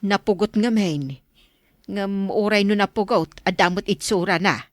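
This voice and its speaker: A woman's voice: medium pitch, measured pace, no room sound, clean studio sound, close to an everyday voice. A woman with an Ilocano accent acting a nagging, sensible mother in a radio drama. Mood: reproving. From Philippines.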